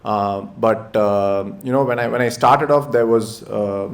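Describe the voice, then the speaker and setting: A man's voice: lowish voice, a little slow. A young man introducing himself on a panel, talking with many hesitant fillers. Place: panel event in a hall. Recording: echoey hall, faint ambience.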